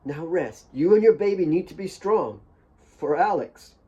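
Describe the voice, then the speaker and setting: A big motherly woman acted by a male audiobook reader speaks in a raised, tender voice. She soothes and coaxes with steady reassurance, like a caregiver to a child. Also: fairly high pitch, a little slow, lo-fi sound, voice pushed up. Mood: soothing. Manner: coaxing, reassuring.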